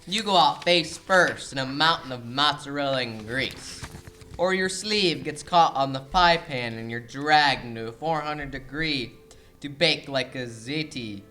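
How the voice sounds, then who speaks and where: A middle-aged mobster who runs a pizza parlor, acted by a young man, with a bright, forceful voice. He is whiny, self-pitying and melodramatic, blustering in comic radio-play style. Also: medium pitch, unhurried. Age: young adult. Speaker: actor in a student audio play.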